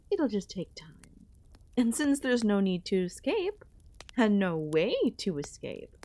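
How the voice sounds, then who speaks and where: A woman playing an ancient, possessive, lonely goddess queen in an ASMR audio roleplay, speaking in a hushed, intimate way with pauses. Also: a little high, creaky, heavily acted. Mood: possessive, smug.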